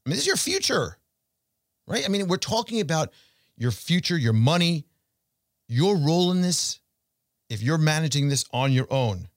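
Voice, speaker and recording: A man hosting a financial talk show, speaking conversationally and emphatically to listeners, with rhetorical questions and deliberate pauses. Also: fairly high pitch, big pitch swings, no room sound, clean studio sound. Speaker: financial show host and portfolio manager. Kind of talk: conversational investment commentary addressed to listeners.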